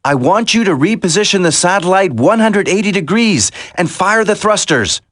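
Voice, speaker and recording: A man's voice: high voice, animated, normal speed, a bit bright, dry recording. A man playing an earnest, determined secret agent hero in a dramatic video game, speaking emphatically. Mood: resolute.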